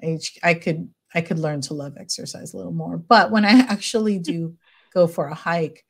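A middle-aged woman psychologist speaking as a podcast guest, gentle and chatty, with frequent fillers and easy laughter. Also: lowish voice, fairly even intonation, moderate pace, vocal fry, breathy edge. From United States. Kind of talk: casual podcast interview.

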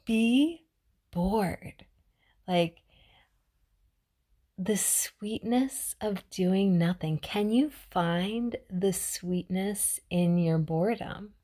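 A young adult woman hosting a mindfulness podcast, talking in a chatty, reflective way with many restarts and now and then dropping to a soft whisper. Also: mid-range voice, extremely slow. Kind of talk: casual reflective monologue.

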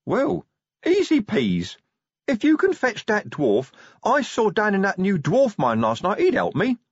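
A man's voice: high-pitched, wildly swooping pitch, measured pace, breathy edge, no echo, muffled recording, pitched above the performer's own voice, exaggerated voice. A man voicing a skinny, dim-witted, drug-addled troll in a fantasy audiobook, with hesitant, rambling, ungrammatical speech. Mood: nervous, eager.

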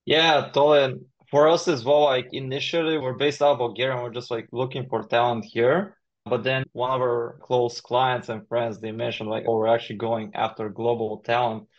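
A man's voice: medium pitch, flat delivery, normal speed. A man hosting a podcast interview in a casual, informal way, chatting loosely as he puts his questions. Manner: informal, frequent "like" and "I feel". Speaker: podcast host. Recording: slightly dull lo-fi call audio.

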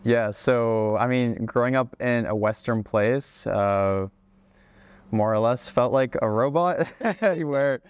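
A young man raised in Hawaii, a guest on a casual podcast interview, talking in a relaxed way with plenty of fillers and restarts. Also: mid-range voice, takes it slowly, clear, resonant tone, dry recording. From Czech Republic, raised in Hawaii. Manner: relaxed, many fillers and restarts, laughs often. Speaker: guest, travel creator.

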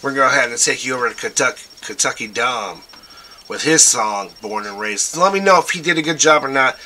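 A man hosts a loose livestream, rambling from one shout-out to the next as he urges listeners to go check things out. His voice is strikingly bright and sits at an ordinary pitch. There is a faint hiss of noise behind him. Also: expressive, a little fast. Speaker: show host. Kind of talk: casual livestream shout-outs.

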